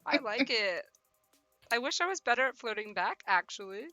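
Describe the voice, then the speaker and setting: A woman's voice: fairly high pitch, animated, normal speed, muffled recording, over music. A woman livestreamer reading a visual novel aloud, mixing narration with giggly, teasing, theatrical banter. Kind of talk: livestream play-along, narration mixed with excited banter.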